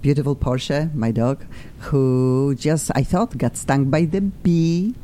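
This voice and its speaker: A woman's voice: rumbling low, slow pace. Effusive woman hosting a chat show. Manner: effusive, excitable, rambling. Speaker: talk show host.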